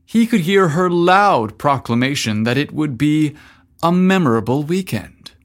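A man hosting a story podcast, narrating from a script in a deliberate, emphatic way. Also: on the high side, animated.

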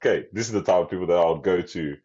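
Podcast co-host, male, very deep voice, rapid slangy delivery, muffled call audio. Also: dark tone, slightly rough. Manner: slangy, laughs often, says bro a lot. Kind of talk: casual chat. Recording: dull lo-fi video call audio.

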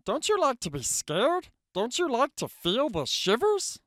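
A man's voice: very high voice, wildly swooping pitch, unhurried, a bit bright, no room sound, clean studio sound, voice pushed up, exaggerated voice. A man voicing Frog, a cheerful, patient grown male frog, reading a storybook aloud. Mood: storytelling. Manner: encouraging, storytelling.

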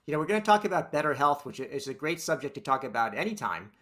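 Bright-voiced male podcast host. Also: on the high side, very animated, fast. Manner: conversational, with fillers and restarts when asking. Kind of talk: scripted intro then interview questions.

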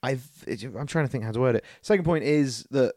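A man's voice: medium pitch, fairly quick, a little mellow, no echo, studio quality. A man co-hosting a podcast, chatting casually about films in a sweary, joking, informal way. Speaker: podcast co-host.

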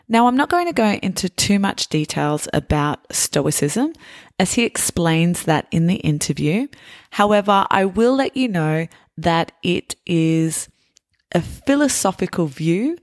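A woman hosting a podcast for English learners, explaining words in a slow, careful, scripted way. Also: fairly low pitch, no echo, clean studio sound. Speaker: podcast host.

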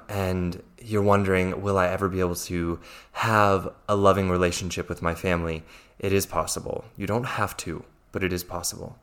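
American male podcast host, mindset coach, very low voice, sincere and reassuring. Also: a bit bright. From Virginia, USA. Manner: earnest, reassuring, repeats himself. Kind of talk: solo podcast monologue.

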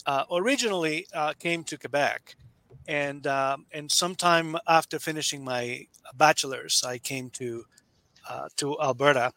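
Bright-voiced man, hesitant guest author. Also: fairly high pitch, a little slow. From Nicaragua. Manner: hesitant, many fillers and restarts. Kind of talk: casual interview talk.

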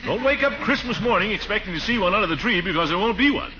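An actor playing a pompous, blustery, soft-hearted middle-aged uncle in a 1940s radio comedy. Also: male voice, sits high, animated, fast talker, background noise. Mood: blustering. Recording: muffled 1940s radio recording.

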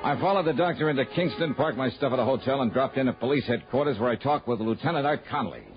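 Actor as a brisk hard-boiled radio investigator, muffled. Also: male voice, mid-range voice, natural voice. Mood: wry narration. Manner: clipped, wry, pressing. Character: brisk, skeptical hard-boiled insurance investigator, narrating his case. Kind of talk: 1960s radio drama acting and narration. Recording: muffled vintage radio recording with music.

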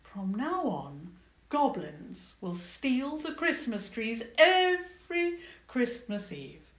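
A woman voicing a tall, regal female goblin queen, cold and smug, who speaks slowly and grandly with mockery. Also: fairly high pitch, very animated, voice pushed up. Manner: slow, grand and mocking. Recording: echoey room.

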